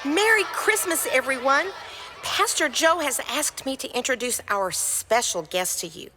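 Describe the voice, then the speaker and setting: A woman's voice: high-pitched, expressive, a little slow, noisy background. A woman playing a proper grandmother who acts as announcer in a puppet show. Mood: cheerful announcing. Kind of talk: church puppet show acting.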